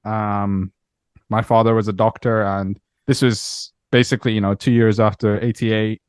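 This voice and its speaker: A man from Myanmar in his thirties with a Scottish accent, talking through his life story as an interview guest, relaxed and reflective with many repeated words. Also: fairly low pitch, normal speed, smooth, no echo, clean studio sound. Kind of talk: casual interview chat.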